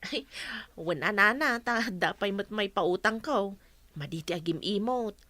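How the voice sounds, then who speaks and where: A woman playing a kind young woman who gently offers to lend money. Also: mid-range voice, expressive, measured pace, no room sound. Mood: gentle.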